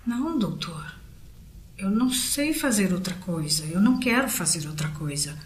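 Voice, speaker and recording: A woman's voice: on the low side, animated, normal speed, natural voice. A woman playing an anxious, worried teacher. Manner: worried, confiding. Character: anxious female teacher troubled about her voice. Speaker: teacher as a patient. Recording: roomy echo.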